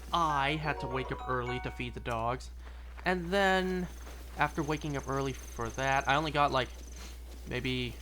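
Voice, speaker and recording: A man's voice: mid-range voice, a bit bright, a little hiss or hum. A young man chatting casually and rambling through gameplay commentary, with wry little asides. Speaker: streamer.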